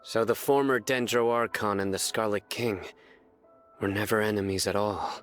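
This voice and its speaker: Game casting: man as calm, serious thinker, lowish register, composed and frank. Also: moderate pace, faint music behind, natural voice. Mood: pensive, frank. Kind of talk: video game cutscene acting.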